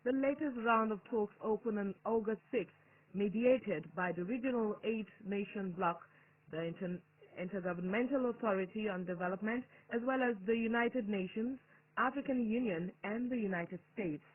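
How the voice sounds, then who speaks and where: Nigerian accent, news presenter, scripted bulletin, measured formal delivery, medium pitch, narrow-band shortwave radio sound. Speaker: radio newsreader.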